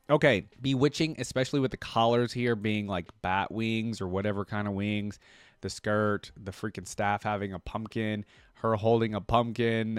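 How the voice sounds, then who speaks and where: A young man with a fairly low voice chats away in a relaxed, upbeat way, calling his viewers "guys" and leaning on casual slang. The sound is dry and close, with no room echo. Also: smooth. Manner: casual slang, repeats freaking. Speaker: reaction video host. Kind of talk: casual reaction commentary.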